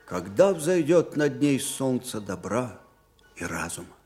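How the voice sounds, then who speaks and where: An actor playing a weary, grieving old field marshal in a radio drama, halting and emotive. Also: male voice, mid-range voice, big pitch swings, soft background music. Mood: grieving, bitter. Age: older. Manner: halting, emotive, swelling from hushed to fervent. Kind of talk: dramatic radio play acting.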